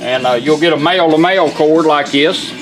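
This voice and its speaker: A man with a somewhat high voice walks through a product demonstration. He talks plainly and informally, explaining each step in a folksy way. Music plays clearly behind him. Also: a little fast, rough recording. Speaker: vintage car radio restorer demonstrating a product.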